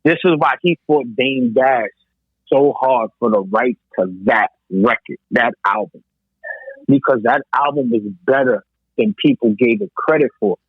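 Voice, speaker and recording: A man's voice: mid-range voice, measured pace, breathy edge, dry recording. Guy from new york, podcast debate, kinda dull dry mic, emphatic, explains and repeats stuff. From New York, United States. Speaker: podcast co-host. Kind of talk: casual debate about hip hop. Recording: slightly dull, lo-fi podcast audio.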